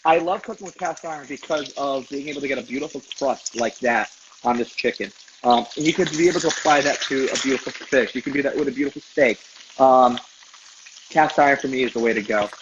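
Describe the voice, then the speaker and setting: A man's voice: mid-range voice, brisk. A man, a professional chef, chatting casually and reflectively as an interview guest while he cooks. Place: kitchen, cooking on a livestream. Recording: dull, noisy video call, sizzling pan. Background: food frying and sizzling.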